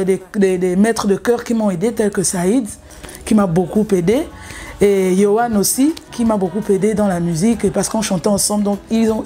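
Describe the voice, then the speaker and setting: A woman's voice: medium pitch, dark tone. A young woman from Gabon, a singer, telling her story in an interview, hesitant, with many fillers and restarts. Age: young adult.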